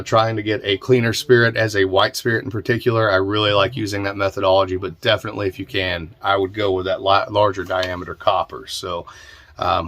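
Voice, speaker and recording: A man with an American accent and a fairly low voice talks as the host of an instructional podcast. His delivery is casual and plain-spoken, explaining practical matters informally with a self-deprecating streak. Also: fairly bright tone. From southern Indiana, United States. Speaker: host of a distilling podcast and channel. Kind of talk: casual explanatory talk answering viewer questions.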